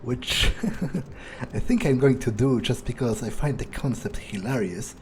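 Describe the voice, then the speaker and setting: A man's voice: medium pitch, measured pace, slight background noise. A man improvising an in-character gameplay monologue as a sardonic vampire, musing hesitantly and casually to himself with dry, morbid humor. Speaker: let's player role-playing a character.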